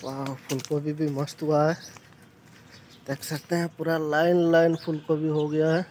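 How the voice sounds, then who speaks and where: A man's voice: fairly high pitch, flat delivery, normal speed, a little mellow, a little hiss or hum. A young man vlogging on a phone held at arm's length, walking through an outdoor garden and vegetable plot and chatting eagerly to viewers. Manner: chatty, enthusiastic, addresses viewers directly. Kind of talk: casual vlog walkthrough.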